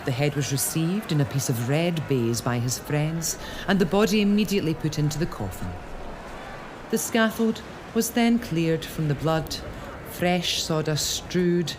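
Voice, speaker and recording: A woman's voice: low-pitched, background noise, close to an everyday voice. An actress playing a noblewoman who reads old letters and speaks reproachfully to a dead cousin. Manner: measured, expressive reading of old documents. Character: Scottish noblewoman, searching and reproachful. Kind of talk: dramatic narration and monologue.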